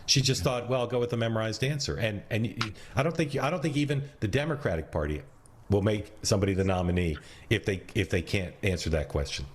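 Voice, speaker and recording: A man commenting critically on TV news, sometimes halting. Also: fairly low pitch.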